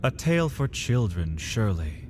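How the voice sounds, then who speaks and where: A man's voice: fairly low pitch, big pitch swings, normal speed, a little hiss or hum. A man narrating a fantasy audio drama from a script in a solemn, intense storytelling manner.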